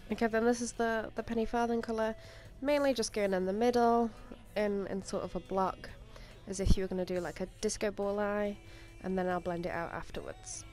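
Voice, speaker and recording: A woman's voice: mid-range voice, steady tone, a little fast, hardly any pauses, a little mellow. A young woman with a slightly stuffy voice from a cold, rambling casually through a makeup tutorial with plenty of ums and likes. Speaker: makeup vlogger. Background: faint music.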